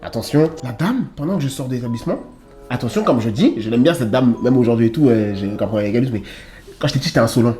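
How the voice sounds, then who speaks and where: A man's voice: mid-range voice, rapid-fire. Young guy telling a story, swears a lot, voice jumps all over, bit of music behind. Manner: animated, acts out scenes with swearing and slang. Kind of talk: casual storytelling chat.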